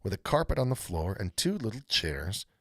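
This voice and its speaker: A man narrates a children's story aloud with very lively, swinging intonation. His voice is on the low side and a little husky, recorded close and dry. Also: moderate pace, dry recording. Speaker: read-aloud host, storyteller.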